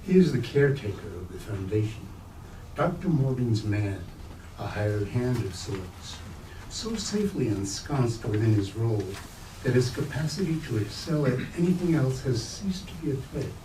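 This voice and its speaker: Male reader, literary prose, dark soft tone, picked up from a distance in an echoing room. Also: mid-range voice, big pitch swings, normal speed. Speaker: reader at a staged book reading. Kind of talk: literary prose reading aloud. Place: bookstore event space, indoors. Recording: roomy, distant mic, faint noise.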